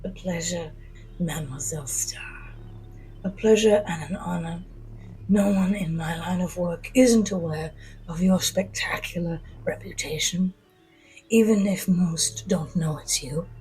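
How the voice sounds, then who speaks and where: A woman voicing a polite, tired alien villain man in an audio drama, scheming coldly in a hushed, breathy, unhurried way. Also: lowish voice, rough recording. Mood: courtly admiration. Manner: courtly, unhurried, menacing.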